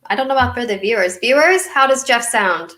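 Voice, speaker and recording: A chatty, giggly woman co-hosting a live online show, with a slightly nasal voice and a little nervous energy. Her tone is bright and crisp at a middle pitch. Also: animated, a little fast, echoey room. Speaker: co-host. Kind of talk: casual live-stream chat.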